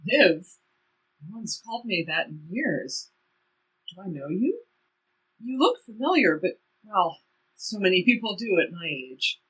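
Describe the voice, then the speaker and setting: A woman's voice: mid-range voice, very animated, unhurried, long pauses, a bit bright, breathy edge, heavily acted, poor audio. A woman playing a very old, polite and wistful woman in a stage play reading. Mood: puzzled.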